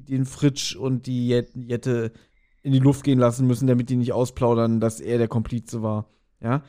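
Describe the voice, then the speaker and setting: A man's voice: medium pitch, warm tone. A man co-hosting a podcast, casually discussing an audio drama and critically reasoning aloud. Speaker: podcast co-host.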